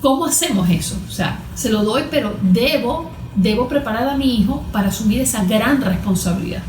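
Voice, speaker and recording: A woman speaks as an expert guest in an interview. Her voice sits a little high and she explains things emphatically, often posing questions to make her point. The recording has a clear room echo. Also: lively intonation, a little fast, a little mellow, slight background noise. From Venezuela. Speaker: guest therapist. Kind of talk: conversational interview answers on parenting. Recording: roomy, echoing sound.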